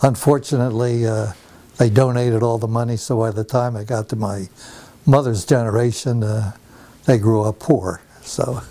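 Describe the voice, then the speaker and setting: An older man from New Jersey, telling his life story at a relaxed pace in a home interview, pausing often with fillers. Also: medium pitch. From New Jersey, USA. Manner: unhurried, pauses often with many fillers. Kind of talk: oral history interview.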